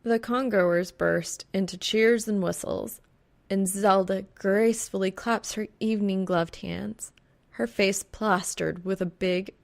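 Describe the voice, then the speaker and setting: Woman, lower-pitched voice, creaky, audiobook-style story narration, fan fiction reader.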